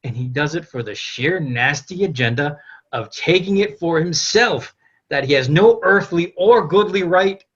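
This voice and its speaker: A man's voice: fairly high pitch, expressive, unhurried, fairly bright tone, breathy edge, full character voice. An actor playing a cocky, foul-mouthed young French king who gloats and swaggers like a stage showman, over a lo-fi online call. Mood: indignant. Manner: boastful, indignant, swaggering. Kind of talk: theatrical comic monologue in a table read. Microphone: video call.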